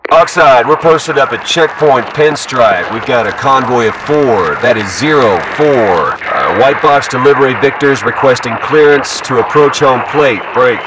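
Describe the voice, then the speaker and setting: Casting: man as steady, matter-of-fact soldier, mid-pitched, radio-filtered, very noisy distant transmission. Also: a little slow, hardly any pauses. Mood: businesslike. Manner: procedural, matter-of-fact, terse. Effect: military radio filter. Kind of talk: clipped military radio chatter.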